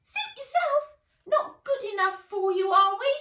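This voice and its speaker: A woman voicing a squeaky, bossy, snippy robot guinea pig creature in a children's storybook reading. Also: very animated, a little slow, bright, forceful tone, full character voice. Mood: huffy, sarcastic. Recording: roomy, distant from the mic.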